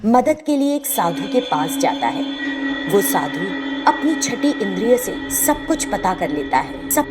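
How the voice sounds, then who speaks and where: A woman's voice: mid-range voice, very animated, unhurried, over music. A woman narrating a scripted moral tale as the storyteller.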